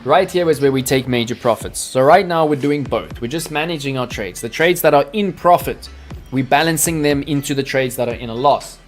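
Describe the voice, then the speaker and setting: A man's voice: medium pitch, fairly quick, soft background music. A man hosting a live crypto trading stream, talking to his chat in a hyped, salesy way. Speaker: crypto trading livestream host.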